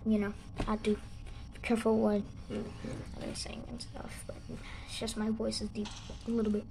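A young girl with a sore throat, rambling casually through gameplay commentary with halting restarts and sudden excited outbursts. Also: mid-range voice, creaky, background noise. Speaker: gaming channel host.

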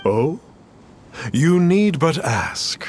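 A man's voice: a little high, sing-song, very slow, noisy background, full character voice. A man playing a grandiose, cryptic masked lord in a video game, speaking in pompous, archaic, formal phrases. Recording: music behind the voice.

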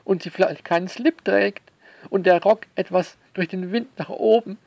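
A man delivering a sarcastic spoken essay to listeners, theatrical and mock-indignant, leaning on words with drawn-out emphasis. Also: high voice, creaky, a little mellow, no room sound. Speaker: author commenting on their own novel.

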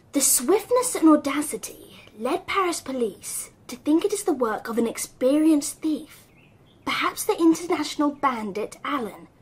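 An actress playing an anxious English girl narrating her summer in a radio drama, with hushed, intense, deliberate storytelling. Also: sits high. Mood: halting, reading aloud.

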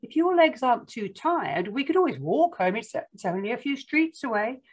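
A woman's voice: on the high side, sing-song, moderate pace, slightly rough, pitched above the performer's own voice. A woman voicing a kind, patient, affectionate mother in a children's storybook. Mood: kind, reassuring.

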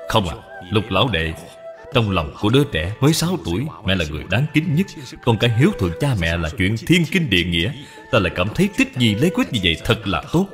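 A man's voice: fairly low pitch, very animated, quick pace. A man voicing a kindly, courteous middle-aged general in a cartoon, speaking earnestly. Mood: gentle, admiring. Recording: long reverb, background music.

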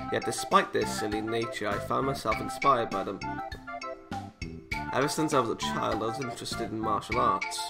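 A British man hosting a gaming video, chatting casually and reading visual novel text aloud with playful, self-interrupting asides. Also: medium pitch. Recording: music playing behind the voice.

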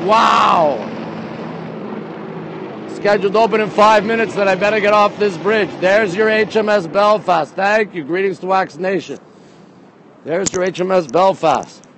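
A man chats casually to live viewers in short, excitable bursts, repeating his phrases. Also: high-pitched, a little slow, poor audio. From Montreal, Canada. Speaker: livestream host. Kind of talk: casual live walking tour chat. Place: outdoors on a city bridge by a river. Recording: windy outdoor phone, ambient noise. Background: wind and light outdoor noise.

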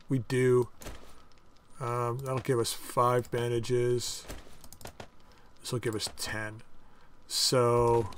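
A man hosting a casual game playthrough, chatting and thinking aloud as he crafts items, with his voice going a bit hoarse. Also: medium pitch, fairly bright tone, a little hiss or hum. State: losing his voice. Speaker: gaming channel host. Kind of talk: casual let's-play commentary.

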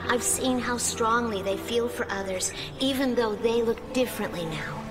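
A woman's voice: on the high side, normal speed, close to an everyday voice. A woman voicing an earnest, caring young female hedgehog heroine in a video game cutscene. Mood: hopeful. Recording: music behind the voice.

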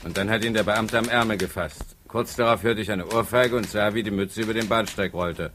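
An actor playing a well-mannered, gossipy gentleman in a 1950s radio drama, hesitant and confiding. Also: fairly low pitch, brisk, close to an everyday voice. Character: discreet gossipy gentleman witness, a doctor. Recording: old radio play, faint hiss.

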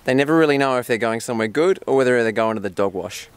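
A man's voice: mid-range voice, quick pace. A man in his forties with an Australian accent, chatting casually to the camera in his car and on a hike with his dogs. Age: 40s. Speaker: vlogger. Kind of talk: casual vlog talk to camera. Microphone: camera held at arm's length or mounted on the dash. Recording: outdoor camera audio with clear background noise.

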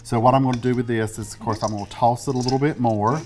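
A man's voice: medium pitch, steady tone, racing, darkish tone, soft background music. A man in his forties hosting a casual cooking demonstration in a studio kitchen, chatty and easygoing as he explains each step. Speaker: cooking show host.

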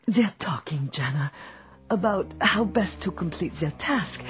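An actress playing a dutiful, protective robot housemaid with a measured, formal manner in a radio drama. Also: female voice, lowish voice, lively intonation, husky, soft background music, heavily acted. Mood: grave, urging.

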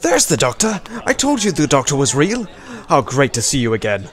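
A man's voice: mid-range voice, huge pitch swings, a little fast. A man playing a gushing rich host. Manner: loud and gushing. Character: excitable wealthy male host, eager.

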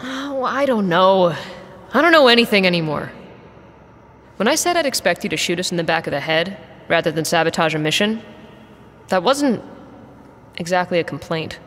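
A bright, blunt mercenary in a hangar. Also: female voice, fairly low pitch, lively intonation, normal speed, pauses often, big-room sound, a little hiss or hum. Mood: exasperated. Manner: dry, blunt. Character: guarded, blunt female mercenary pilot, exasperated and loyal. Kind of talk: audio drama acting.

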